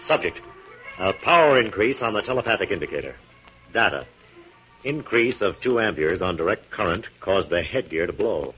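An actor playing an assured, methodical scientist hero with a measured, authoritative manner, in 1940s radio drama style. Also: male voice, deep voice, animated, faint music behind. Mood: businesslike, assured. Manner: crisp dictation, measured, authoritative. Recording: dull, muffled 1940s radio recording.

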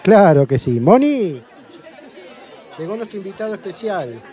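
A man's voice: high-pitched, sing-song, measured pace, dark tone, some chatter behind. A man with an Argentine accent playing a hearty, hospitable friend hosting dinner in a radio play. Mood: welcoming.

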